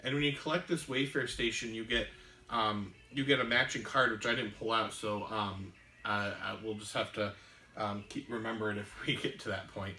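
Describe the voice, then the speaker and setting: Bright-voiced man explaining game rules. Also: fairly low pitch, fairly even intonation, reverberant, rough recording. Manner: halting, many ums and restarts. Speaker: board game show host. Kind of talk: casual rules explanation and chat.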